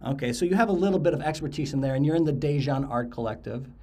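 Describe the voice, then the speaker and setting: A man's voice: medium pitch, fast, dark tone, slightly rough. A man hosting a podcast, chatting casually with guests and often repeating words as he restarts. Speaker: podcast host.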